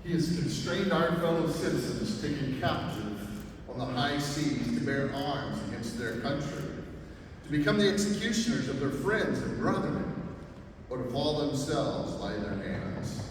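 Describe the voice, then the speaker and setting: A man's voice: a little high, animated, a little slow. Man, formal read-aloud, heavy echo, faint noise. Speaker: reader at a public event. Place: large echoing hall at a statehouse. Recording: echoey hall from far off, faint noise.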